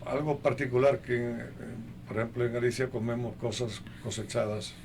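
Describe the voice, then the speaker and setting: An older man being interviewed in a conversational way, speaking haltingly and listing things one by one, with a gravelly voice. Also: medium pitch, little pitch movement, a little mellow, slight background noise. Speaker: interview guest.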